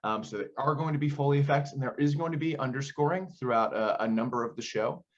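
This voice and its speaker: A man's voice: medium pitch, fast, highs cut off. A man giving conversational, explanatory interview answers as a theater director, often posing questions as he goes.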